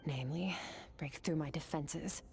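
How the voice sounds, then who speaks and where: A woman's voice: deep voice, a little slow, darkish tone, faint music behind. A woman voicing a cool, disdainful female warrior in a video game, detached and matter-of-fact in her delivery. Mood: cool, explanatory.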